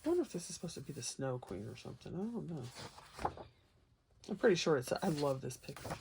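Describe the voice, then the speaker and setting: A woman's voice: sits low, very animated, fast talker, gravelly, darkish tone, a little hiss or hum. A woman chatting casually and unscripted to viewers as she hosts a flip-through, in a rambling, folksy, playful way. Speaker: host of a colouring book flip-through. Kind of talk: casual unscripted chat to viewers over book pages.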